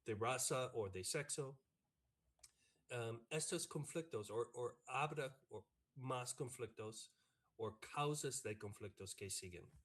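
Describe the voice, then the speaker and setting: An American man, a professor and native English speaker, answering in a panel over a video call, halting with many fillers and restarts. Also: mid-range voice, a bit bright. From United States. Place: online video meeting. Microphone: computer mic on a video call.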